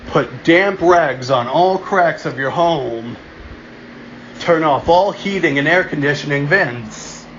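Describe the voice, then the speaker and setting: A man playing a solemn emergency alert announcer in an amateur mock broadcast, deliberate and halting, restarting his phrases. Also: on the high side, a bit bright. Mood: urgent warning. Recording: dull lo-fi home recording, noticeable background hum.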